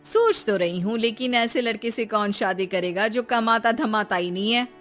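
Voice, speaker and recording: A woman's voice: a little high, normal speed, a bit bright, soft background music, close to an everyday voice. A woman voicing a kindly, fretful mother-in-law in a cartoon story. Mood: worried. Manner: fretful, pleading.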